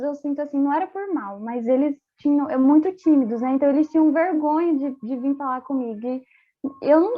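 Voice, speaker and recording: High-voiced Brazilian woman over a tinny call. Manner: chatty, laughs often, many fillers. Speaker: interview guest, former exchange student. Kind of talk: casual interview chat. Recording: tinny phone-like audio.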